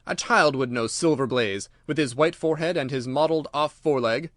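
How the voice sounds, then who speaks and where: A man's voice: mid-range voice, brisk, fairly bright tone, no echo, clean studio sound, lightly acted. A man voicing a proud, sceptical colonel in an audiobook, blunt, curt and scornful. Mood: angry, indignant.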